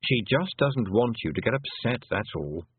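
A man voicing a sardonic little male toad, low, quiet and wry. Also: expressive, moderate pace, husky, dry recording. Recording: tinny, phone-like lo-fi audio.

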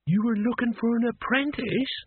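A man's voice: fairly even intonation, moderate pace, breathy edge, no room sound, phone-quality sound. A man voicing an earnest, anxious, polite teenage boy, with a hesitant and deferential manner. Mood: nervous, polite. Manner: hesitant, higher-pitched, deferential.

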